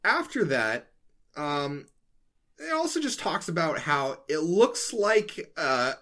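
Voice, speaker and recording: A man's voice: a little high, sing-song, slow, pushed and bright. A man co-hosting a casual comedic podcast, talking in a playful, rambling way. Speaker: podcast co-host.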